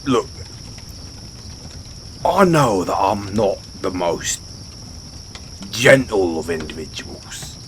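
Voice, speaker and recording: A British man voicing a dim, sheepish orc barbarian in a fantasy audio roleplay, speaking in a halting, blunt, stammering way. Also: sits low, sing-song, a bit bright, a little hiss or hum, heavily acted. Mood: sheepish, apologetic. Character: gruff but sheepish, dim orc barbarian adventurer, adult male. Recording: outdoor night ambience with crickets.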